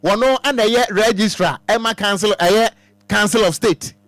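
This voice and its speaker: A man's voice: high-pitched, normal speed, a bit bright, no echo. A Ghanaian man hosting a phone-in talk show on the radio from a studio. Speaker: radio host.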